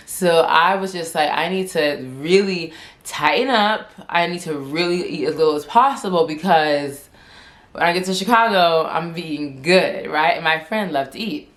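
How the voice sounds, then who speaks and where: Woman, 20s, low voice, casual vlog storytelling, rambling, says "like" a lot, stutters. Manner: chatty and rambling, heavy use of "like"; stutters and repeats words. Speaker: vlogger.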